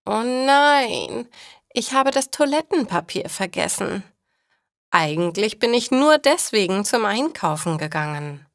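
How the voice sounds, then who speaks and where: A woman's voice: mid-range voice, very animated, unhurried, no echo, studio quality, pitched above the performer's own voice. A woman voicing a forgetful female shopper who muses to herself in a storybook for language learners. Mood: dismayed. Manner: self-talking, musing.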